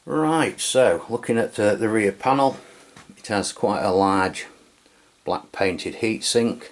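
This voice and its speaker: A man's voice: on the low side, slow pace. A man from England giving a casual, hesitant product review with many fillers. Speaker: radio reviewer. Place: home radio room.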